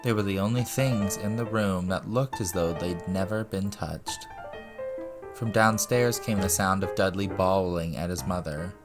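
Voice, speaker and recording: A man's voice: sits low, unhurried. A man reading a story aloud as narrator, in scripted audiobook style. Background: music and ambience.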